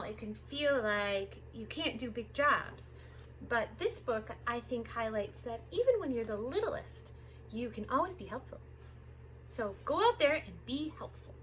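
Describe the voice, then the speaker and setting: A woman reading aloud to young children as a storytime host, expressive and sing-song, drawing out the sound words. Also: fairly high pitch, a little hiss or hum, poor audio. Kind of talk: animated picture-book read-aloud for young children.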